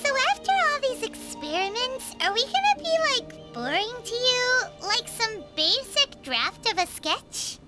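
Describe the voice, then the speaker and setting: A perky little fairy companion for a video game. The voice is childlike, squeaky and extremely high, bouncing widely in pitch. Loud music runs underneath. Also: female voice, big pitch swings, a little slow, few pauses, exaggerated voice. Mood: puzzled, pouty. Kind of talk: cartoonish video game character dialogue. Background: loud background game music.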